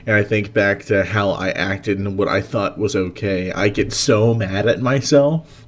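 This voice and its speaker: A man's voice: lowish voice, measured pace. A man chatting casually as a co-host, blunt and informal in the way he talks. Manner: blunt, informal, swears freely. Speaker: podcast co-host. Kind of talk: casual conversation.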